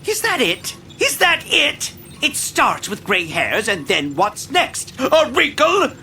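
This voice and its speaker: Casting: high-pitched, swooping hologram of a greedy, volatile millionaire, theatrical audio drama delivery. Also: wildly swooping pitch, sharp tone, a little hiss or hum, exaggerated voice. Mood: indignant, ranting. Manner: theatrical, exclaiming, drawn-out words. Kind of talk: scripted audio drama acting.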